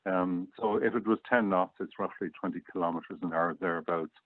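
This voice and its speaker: A man as a guest reviewer, explaining casually in a plain-spoken, measured way. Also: low-pitched, no echo. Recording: tinny phone-like line, very dry.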